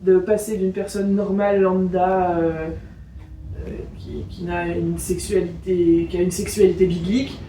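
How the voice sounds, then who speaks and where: A woman's voice: on the low side, little pitch movement, unhurried, dark tone, echoey room, recorded from a distance, slight background noise, low-quality recording. A woman hosting an interview, asking frank, teasing questions and laughing often. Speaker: host, interviewer. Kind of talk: casual interview.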